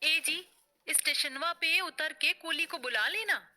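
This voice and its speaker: A woman's voice: high-pitched, unhurried, sharp tone, voice pushed up, full character voice. A woman voicing a naive, fussy young village wife with a rural eastern Uttar Pradesh accent in a comic cartoon. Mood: coy, fussy. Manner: rustic, plaintive. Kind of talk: comic Hindi cartoon story dub. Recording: music bed under the voice.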